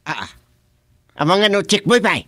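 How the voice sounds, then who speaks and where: A man's voice: sits high, very animated, moderate pace, sharp tone, dry recording, clean studio sound, exaggerated voice. A man playing a bemused, joking village chairman in a comedic radio drama, drawling and reacting with interjections.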